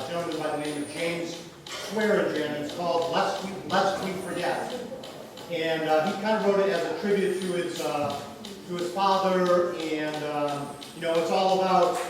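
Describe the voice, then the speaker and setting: Man speaking haltingly in an echoey hall. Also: on the high side, distant mic, noisy background. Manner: halting, many fillers. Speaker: band director introducing pieces. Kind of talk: spoken introductions at a public ceremony. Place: grange hall. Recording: very echoey hall, heard from afar.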